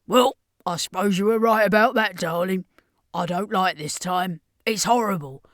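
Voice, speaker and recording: A woman voicing a world-weary but tender lady guardian in a narrated story, speaking with soft regret. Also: medium pitch, moderate pace, no room sound, studio quality, natural voice. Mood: regretful, resigned.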